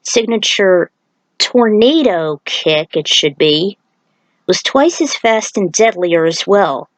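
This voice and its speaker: Woman, scripted story narrator, dramatized reading, mid pitch, dry recording. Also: animated, slow, no room sound, rough recording. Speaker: fan fiction reader.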